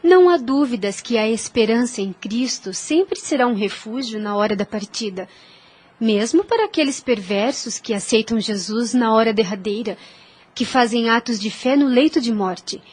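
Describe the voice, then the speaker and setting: A woman acts out a gentle young lady of deep faith in a religious audio drama. Her voice sits fairly high, and she explains things with earnest, reverent care. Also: expressive, normal speed, husky. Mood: earnest, devout. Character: gentle, devout young Spanish woman, earnest teacher of scripture.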